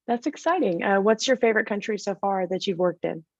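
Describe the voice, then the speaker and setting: A woman's voice: mid-range voice, brisk. A woman hosting a video call interview, upbeat and encouraging as she asks questions. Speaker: host, interviewer. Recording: dry, dull video call audio.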